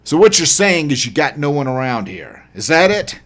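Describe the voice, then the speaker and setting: A man performs a hard-bitten male survivor in a zombie audiobook. He is impatient, blunt and commanding. His delivery jumps widely in pitch. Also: big pitch swings, normal speed, fairly bright tone.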